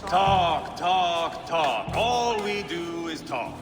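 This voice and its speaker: A man's voice: a little high, animated, extremely slow, full character voice. A man voicing a bitter, taunting, bloodthirsty Norse warrior in a video game, mocking and impatient. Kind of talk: video game drama acting. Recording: reverberant hall under loud music.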